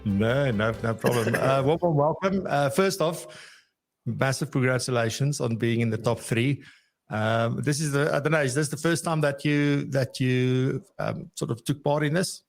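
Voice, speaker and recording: A man's voice: medium pitch, normal speed. A man hosting a live podcast, chatty and hesitant, full of fillers as he introduces and questions his guests. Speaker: podcast host.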